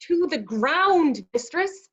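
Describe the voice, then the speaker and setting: A woman's voice: high-pitched, expressive, takes it slowly, fairly bright tone, muffled recording, exaggerated voice. A woman playing a cheeky, streetwise male servant in a staged Shakespeare comedy reading, with jesting patter.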